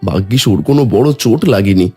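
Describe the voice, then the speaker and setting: A man's voice: lowish voice, lively intonation, brisk, darkish tone, breathy edge, faint music behind. A man voicing a mild, downhearted, unlucky woodcutter in a cartoon story, sounding plaintive. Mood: dejected.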